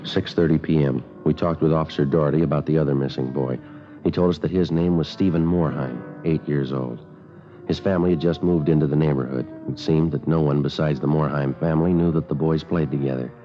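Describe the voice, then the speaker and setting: An American man acting a calm police sergeant and narrating a radio drama in a clipped, matter-of-fact, deadpan way. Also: a bass voice, a little fast. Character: male Los Angeles police sergeant, calm, businesslike detective. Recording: dull old radio recording with music.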